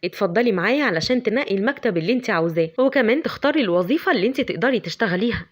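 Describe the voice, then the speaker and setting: A woman's voice: fairly high pitch, animated, racing. A woman voicing a courteous young male colleague with an Egyptian Arabic accent and a sly, teasing edge.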